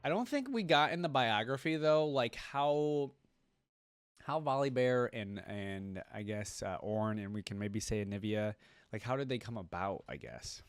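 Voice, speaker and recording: Male reaction host, mid-range voice with lively swings, dry close sound, curious and conversational. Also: medium pitch, normal speed, clear, resonant tone, no echo. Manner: musing, curious, wondering aloud. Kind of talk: casual reaction commentary.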